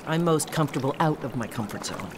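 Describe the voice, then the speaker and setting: A woman's voice: low-pitched, animated, fast. An actress playing a reserved woman writer from Montana in a radio drama, speaking in a dry, frank, clipped way. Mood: dry, polite. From Montana, United States. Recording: noisy outdoor lake scene, water sounds.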